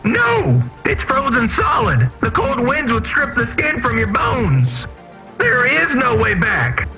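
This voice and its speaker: An actor playing an earnest, masked refugee from underground vents in a video game, pleading in dramatic, formal wording. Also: male voice, very high voice, wildly swooping pitch, slow pace, pushed and bright, soft background music, heavily acted, poor audio. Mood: grim.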